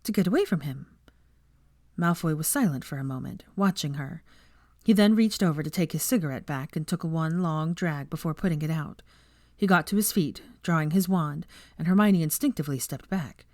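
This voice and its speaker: A woman's voice: low-pitched, brisk, darkish tone, no room sound, clean studio sound. A woman reading fiction aloud as a scripted story narrator.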